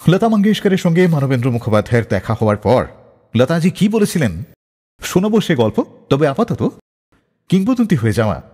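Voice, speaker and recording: A male radio host narrates scripted stories with hugely animated, swooping intonation. His voice sits on the high side and has a slightly husky edge. The delivery is theatrical and expressive, drenched in long reverb. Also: fairly quick.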